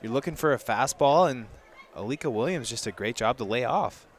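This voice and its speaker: A man's voice: medium pitch, animated, fairly quick. An American man working as a color commentator, analysing baseball plays on a live broadcast. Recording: faint outdoor ballpark noise. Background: ballpark ambience.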